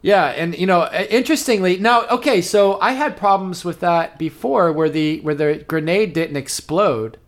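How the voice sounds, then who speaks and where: A man in his forties co-hosting a podcast, musing out loud with hesitant, trailing-off sentences. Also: sits high, normal speed, hardly any pauses. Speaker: podcast co-host. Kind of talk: casual movie discussion.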